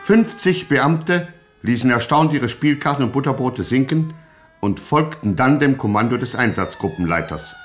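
A man narrates a radio play with mock-epic storytelling. His voice is medium in pitch. Some background noise sits behind him. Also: lively intonation, moderate pace.

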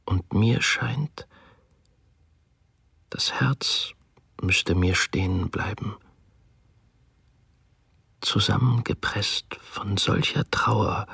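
Low gravelly guy reading real slow and grave, dry studio sound. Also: a bit bright, no room sound. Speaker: reader in a radio documentary drama. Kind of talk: solemn scripted reading.

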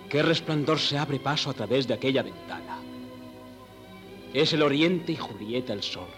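An actor playing a smooth, mocking young rival in a 1970s radio play, measured and condescending. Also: male voice, medium pitch, fairly bright tone, natural voice. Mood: romantic declaiming.

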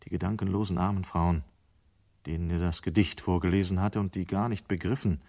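A man's voice: low voice, steady tone, a little fast, dark tone. A man as a sober storyteller, narrating a dystopian radio drama from a script. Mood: measured.

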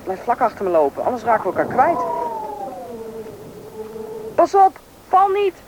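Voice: mid-range voice, wildly swooping pitch, moderate pace, muffled recording. A performer playing a bossy, knowing country boy, confident and impatient, in a radio drama. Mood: irritated, urgent. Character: bossy, knowing boy who grew up in the taiga. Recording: noisy old radio play recording.

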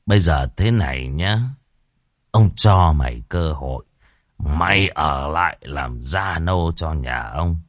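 A man voicing a greedy landlord in his fifties who bullies in a slow, low, gruff drawl. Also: expressive, dry recording, studio quality, full character voice. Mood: scheming.

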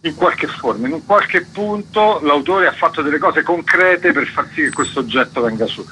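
A man's voice: fairly high pitch, pushed and bright, slight background noise, poor audio. A man speaking as a festival curator and guest in a conversational radio interview, explaining things in a discursive way.

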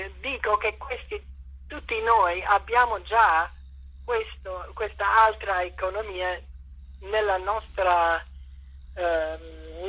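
A woman's voice: medium pitch, pushed and bright, breathy edge, muffled recording. A woman answering interview questions, explaining ideas in an unscripted, hesitant way and searching for her words. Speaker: interviewed author and thinker.

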